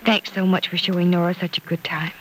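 A woman's voice: lowish voice, level tone, quick pace, close to an everyday voice. An actress playing a tender, wistful young woman in an old-time radio soap, hesitant and earnest, on a noisy vintage recording.

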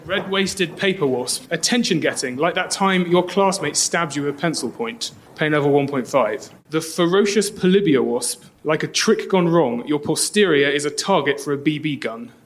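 Man's dramatic reading, slightly high pitch. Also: animated, moderate pace, few pauses. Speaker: live event reader. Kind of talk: dramatic reading of short poetic text.

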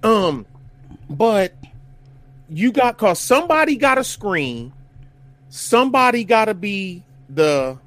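Fifties guy, high voice, rambly, keeps restarting sentences. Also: big pitch swings, takes it slowly, long pauses, a bit bright. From Detroit, USA. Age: 50s. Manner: rambling, searching for words, many restarts. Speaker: podcast co-host. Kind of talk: casual group banter.

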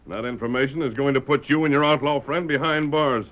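An actor playing a commanding, resolute masked lawman in a radio western, with formal, deliberate American diction. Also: mid-range voice, close to an everyday voice. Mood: accusing, confident. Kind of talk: old-time radio western acting. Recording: dull, lo-fi old radio recording.

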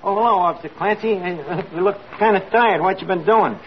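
A man's voice: high voice, brisk, natural voice. A man acting a gullible, working-class New York tavern manager in an old radio comedy. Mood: friendly. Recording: muffled old radio recording, studio audience, hiss.

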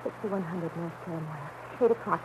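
An actress playing a feisty, quick-witted young woman, spirited and assertive, in a classic radio drama. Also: fairly low pitch, close to an everyday voice. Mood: flustered, brisk. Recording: muffled noisy vintage radio recording.

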